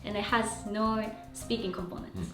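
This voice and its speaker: A woman who teaches Japanese, a native Japanese speaker chatting in English in a lively way and laughing easily. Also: mid-range voice, normal speed, echoey room, over music. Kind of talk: casual conversational chat.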